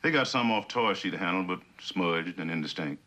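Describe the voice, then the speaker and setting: Earnest police officer, husky, bright forceful edge, animated pitch, clipped methodical delivery, old TV drama, muffled soundtrack. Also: male voice, moderate pace. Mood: businesslike. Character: earnest police subordinate reporting case findings. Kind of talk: 1960s television drama acting.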